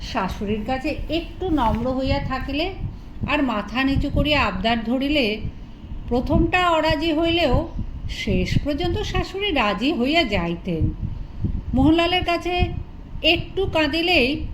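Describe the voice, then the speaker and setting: A woman reading a literary short story aloud as an audiobook narrator, in an expressive storytelling manner. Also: high voice, unhurried, background noise.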